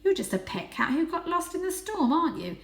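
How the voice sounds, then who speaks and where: A woman acts out a tough female street cat from a children's story. She is scornful and blunt, quizzing and dismissing whoever she talks to. The room gives the voice a clear echo. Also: very high-pitched, very animated, a little fast, voice pushed up, exaggerated voice. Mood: realising, dismissive. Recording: roomy, echoing indoor sound.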